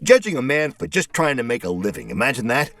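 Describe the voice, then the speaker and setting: A man's voice: mid-range voice, big pitch swings, quick pace, fairly bright tone, faint music behind, pitched above the performer's own voice. A man voicing a plump, shabby middle-aged male neighbor in a story, eager, rambling and exclamatory. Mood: chatty, aggrieved.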